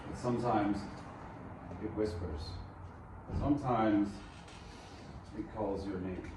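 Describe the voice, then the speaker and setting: A man's voice: lowish voice, slow pace. A man playing a broken, grieving musician in a screenplay table read, brooding and subdued. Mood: haunted. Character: Beric, broken, haunted, grieving male musician. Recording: distant, echoey room with clear noise.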